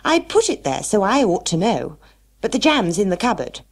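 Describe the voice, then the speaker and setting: Storybook eccentric male host, played by a woman: fussy, emphatic, lifted swooping pitch, slightly husky. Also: sing-song, moderate pace. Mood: contrary. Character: Mad Hatter, eccentric, fussy, assertive male tea-party host.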